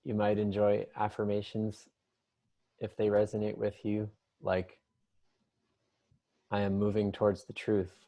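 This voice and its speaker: A man leading an improvised, conversational guided meditation in a gentle, unhurried manner with long pauses. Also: lowish voice, completely flat delivery.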